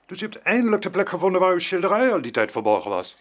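An actor playing a brusque landowner in a radio drama, brisk and matter-of-fact. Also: male voice, sits high, very animated. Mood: brisk, dismissive. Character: brusque, busy landowner, son of a painting thief.

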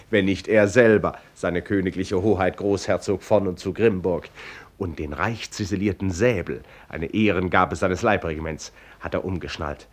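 A male storyteller with a fairly low voice narrates a radio play. He is ironic and teasing, with a knowing, conversational way of addressing his audience and characters. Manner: ironic, teasing, chuckling asides.

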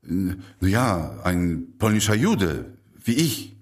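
An actor playing a calm, courteous doctor who reassures others in a radio play. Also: male voice, low-pitched, slow pace, heavily acted.

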